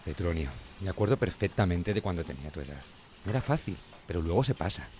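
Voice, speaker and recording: A man acts out a fussy, prim father in an audio drama. His voice is low, and he advises and admonishes with earnest care over faint kitchen sizzling. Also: animated, fast, darkish tone, slight background noise. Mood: fussy, advising. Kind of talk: audio fiction acting.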